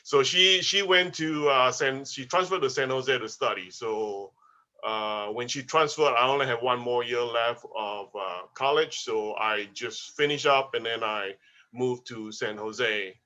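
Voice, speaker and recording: Bright-voiced man, hesitant and friendly. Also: mid-range voice, measured pace, highs cut off. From Malaysia. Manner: hesitant, many restarts. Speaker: guest. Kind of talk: casual interview.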